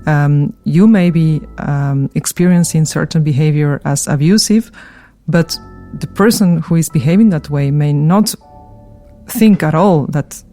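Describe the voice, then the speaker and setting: A middle-aged woman from Argentina, a university research coordinator, speaking thoughtfully with pauses and soft laughs. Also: deep voice, faint music behind. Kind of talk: recorded personal testimony.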